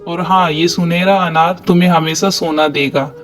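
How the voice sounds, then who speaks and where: A man's voice: on the high side, fairly even intonation, moderate pace, lightly acted. A man voicing a grateful, generous magical talking tree with a benevolent, declaring manner in a cartoon. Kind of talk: cartoon dialogue. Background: background music.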